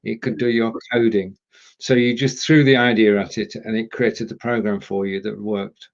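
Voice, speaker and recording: A man's voice: lowish voice, level tone, moderate pace, lo-fi sound. A man from England hosting a casual online chat show, explaining things in a stop-start way over a streaming call. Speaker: show host and producer. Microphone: live streaming video call.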